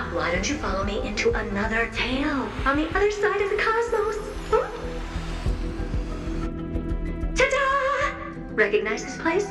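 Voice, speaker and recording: A woman voicing a playful, theatrical female storyteller in a game, bubbly and teasing, full of coy asides. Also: high-pitched. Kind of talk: performed game narration addressed to the player. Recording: roomy echo, distant, under loud music.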